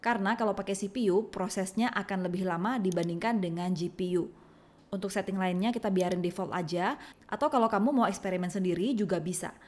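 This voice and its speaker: A woman presenting a scripted software tutorial in an instructional, step-by-step manner. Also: medium pitch.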